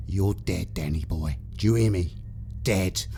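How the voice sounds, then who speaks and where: A man's voice: deep voice, unhurried, gravelly, warm tone, a little hiss or hum. A man playing a nasty, bullying male grave robber with a British accent, sneering and giving orders in an audio horror tale. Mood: menacing. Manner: sneering, commanding, slangy British speech.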